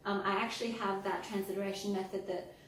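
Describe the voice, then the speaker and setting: A woman with a Persian Australian accent explains her books earnestly, pausing with ums. She is recorded in a very echoey room from a distant mic with faint noise underneath. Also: medium pitch, steady tone, fairly bright tone. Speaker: guest, children's book author and pharmacist. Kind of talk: interview answers.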